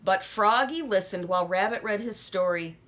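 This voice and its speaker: A woman reading a children's story aloud as an expressive narrator. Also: mid-range voice, normal speed. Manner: animated, addresses young listeners with questions.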